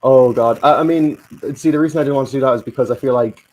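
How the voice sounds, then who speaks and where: A man hosting a casual live stream, slightly hoarse and halting, with many restarts. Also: mid-range voice, darkish tone. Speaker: stream host.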